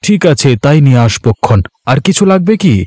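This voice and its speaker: A man playing a poor, gentle village farmer and father in a children's cartoon, with a homely, affectionate manner. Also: on the high side, big pitch swings, a little fast, no echo. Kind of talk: Bengali children's cartoon voice acting.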